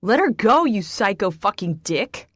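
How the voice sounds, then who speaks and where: A woman voicing a young man shouting back. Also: medium pitch, very animated, measured pace, slightly rough, no echo, studio quality, exaggerated voice. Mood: angry. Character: young man, gentle suitor turned defiant.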